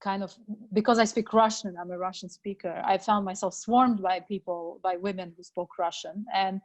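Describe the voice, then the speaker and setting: A woman from Azerbaijan, a freelance photographer, talks in a hesitant way and fills her pauses with small sounds. Her pitch sits in the middle for a woman. She speaks over a video call. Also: steady tone. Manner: hesitant, many fillers.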